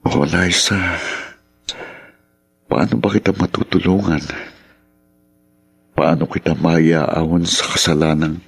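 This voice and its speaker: Low, husky troubled lovelorn man. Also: steady tone, slow, pauses often, exaggerated voice. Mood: yearning, sorrowful. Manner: solemn, heartfelt. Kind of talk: Filipino radio drama acting.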